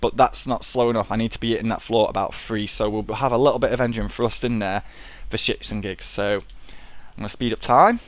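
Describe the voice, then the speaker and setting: A man's voice: fairly low pitch, fairly quick, no room sound. Sniffly man rambling over gameplay. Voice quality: swears freely, rambling and chatty. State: sniffly with a cold. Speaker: gameplay commentator. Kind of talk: casual let's-play commentary.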